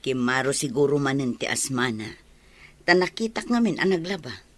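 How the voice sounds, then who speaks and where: A woman's voice: very deep voice, big pitch swings, moderate pace, exaggerated voice. A kind village neighbor lady in a radio drama, concerned about folks. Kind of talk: Filipino radio drama acting.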